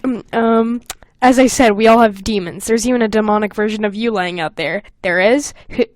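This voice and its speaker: A woman's voice: medium pitch, normal speed, slight background noise. A young woman hosting a casual comedy podcast, reading aloud and commenting in a giggly, self-mocking, exclamatory way. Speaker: podcast host. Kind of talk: casual comedic chat, reading own old fan fiction aloud.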